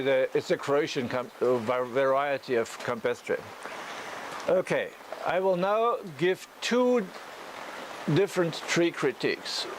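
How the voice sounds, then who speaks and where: A man who speaks as a gives an unscripted critique as a teacher, thinking aloud haltingly with a whimsical storytelling streak. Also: a little high. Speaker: bonsai teacher giving tree critiques. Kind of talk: unscripted spoken critique and demonstration. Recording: noisy ambient location recording.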